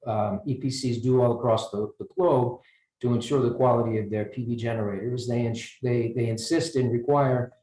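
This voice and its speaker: A man's voice: mid-range voice, fairly even intonation, normal speed, warm tone. An American man speaking as a panelist in a discussion, with frequent restarts and repeated words as he thinks. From United States. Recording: roomy echo.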